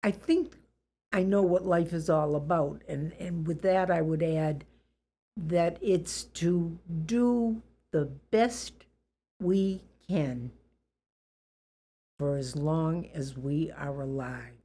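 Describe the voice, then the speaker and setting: An elderly woman with a Boston accent, reflecting on her life in an interview in a deliberate, plain-spoken, good-humored way. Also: deep voice, warm tone. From Boston area, USA.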